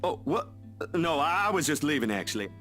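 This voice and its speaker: A man's voice: on the high side, big pitch swings, brisk, fairly bright tone, pitched above the performer's own voice. A man doing a stammering shy young guy. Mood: awkward polite. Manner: stammering, awkward, polite. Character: shy, flustered young male photographer. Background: game music.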